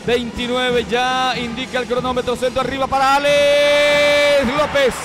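A man with a Paraguayan Spanish accent calls a futsal match live in a very high voice. His play-by-play comes quick and excited and rises when a goal is near. Music plays clearly underneath. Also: over a noisy crowd. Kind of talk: live sports commentary.

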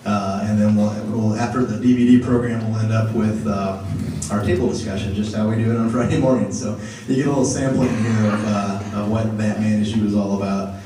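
A man with a somewhat low, warm voice addresses a room full of men, pausing often with fillers. He is heard from across a large meeting room, with strong echo and faint room noise. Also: steady tone. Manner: halting, many fillers. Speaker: group organizer introducing speakers. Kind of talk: casual talk to a men's group. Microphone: far camera. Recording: echoey room from far off.

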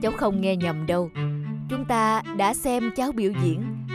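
Measured, formal contest judge. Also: male voice, sits high, animated, over loud music. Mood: measured, kindly. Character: stern but kindly middle-aged male contest judge. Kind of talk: cartoon voice acting.

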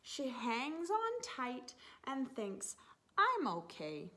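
A woman in her thirties, a teacher reading a picture book aloud to young children in an expressive, animated manner with drawn-out words. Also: high voice. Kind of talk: expressive children's story time read-aloud. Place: living room, indoors on a sofa.

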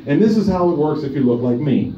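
A man's voice: a little high, lively intonation, quick pace, mellow, a little hiss or hum. A man in his thirties doing a crude, profane open-mic stand-up set, teasing the front row from a small bar stage. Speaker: stand-up comedian. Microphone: handheld stage mic, filmed from far above. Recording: distant roomy lo-fi club recording. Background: audience laughter.

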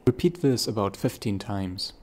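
A man's voice: fairly low pitch, expressive, moderate pace, a little mellow. A man reading scripted narration for a step-by-step tutorial.

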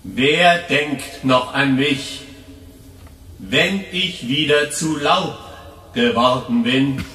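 Echoing, very slow solemn atheist. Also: male voice, medium pitch, animated, bright, forceful tone, full character voice. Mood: solemn, searching. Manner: solemn, declamatory. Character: solemn questioning atheist calling out in empty church. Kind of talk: declamatory radio play monologue. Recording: echoing empty church, distant from mic.

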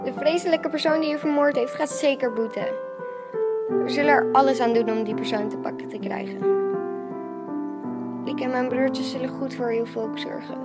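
A woman's voice: high voice, fairly even intonation, normal speed, pauses often, loud music behind the voice, close to an everyday voice. A woman playing a determined, earnest young princess in an amateur radio play. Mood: solemn. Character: young princess, determined and devoted to her family.

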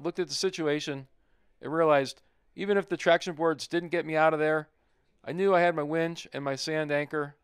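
Clear-voiced man narrating a trip. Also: fairly high pitch, no echo, clean studio sound. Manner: plain-spoken, easygoing storytelling. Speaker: overlanding vlogger. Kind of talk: recorded voice-over narration.

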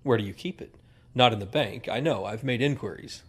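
Old male retainer, husky, formal, proud, scolding, western audio drama. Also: mid-range voice, brisk, fairly bright tone. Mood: stern, probing. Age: older. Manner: formal, wordy, indignant.

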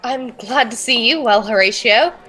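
A woman's voice: fairly high pitch, normal speed, sharp tone, slightly rough, some chatter behind. A woman playing a grieving, brooding young royal in formal Shakespearean speech for a role-play podcast. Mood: warm, curious. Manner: formal archaic theatrical speech.

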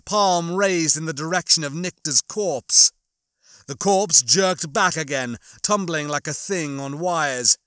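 A man reading a horror novel as an audiobook narrator, in a dramatic, emphatic style with drawn-out phrases. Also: a little high, bright, forceful tone, dry recording, studio quality. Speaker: audiobook narrator and channel host.

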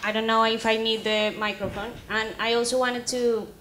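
A woman's voice: fairly high pitch, little pitch movement, moderate pace, a bit bright. A woman with a Colombian accent chairs a conference panel, speaking hesitantly with many fillers. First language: Spanish. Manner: hesitant, many fillers, apologetic about her English. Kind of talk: panel moderation. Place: conference stage, large hall. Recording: roomy hall sound, faint noise.

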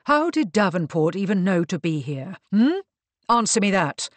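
A woman voicing a furious, domineering gentleman, bellowing and bitterly sarcastic, in an audiobook. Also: high-pitched, big pitch swings, moderate pace, no room sound. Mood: icy. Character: furious, domineering man, master of the house.

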